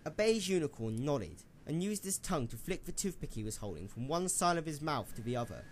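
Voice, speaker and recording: A man's voice: fairly high pitch, sing-song, a little fast, a little hiss or hum. A man reading scripted story narration as the narrator of an audio drama.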